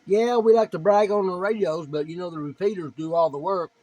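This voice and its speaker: A man with a slightly high voice makes casual ham radio small talk. He is plainspoken and tends to say things over again as he talks shop with other operators. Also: a little fast, a little mellow, no room sound, rough recording. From United States. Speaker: amateur radio operator, video maker.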